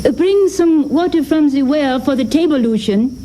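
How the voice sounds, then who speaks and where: A woman's voice: sits high, animated, normal speed, warm tone, slight background noise. A woman playing a firm but caring village mother in a radio drama, speaking with formal authority and concern. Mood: stern.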